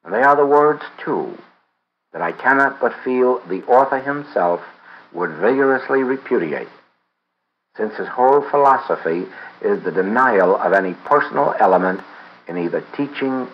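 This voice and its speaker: A man reading a spiritual book aloud in a measured, deliberate way, pausing often between phrases. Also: mid-range voice, lively intonation, dull audio. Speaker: reader of a book. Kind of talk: read-aloud audiobook of a spiritual text.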